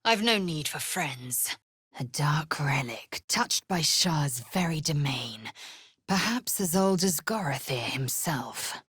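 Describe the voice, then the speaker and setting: A proud young female alien warrior from a fantasy video game. The voice sits low, with a raspy edge and a measured, unhurried delivery. She sounds haughty and formal, biting off her phrases with fierce scorn. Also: animated, a bit bright, no echo, studio quality, full character voice. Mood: resolute. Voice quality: husky, raspy. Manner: clipped, imperious, haughty, formal. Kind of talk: video game character voice acting.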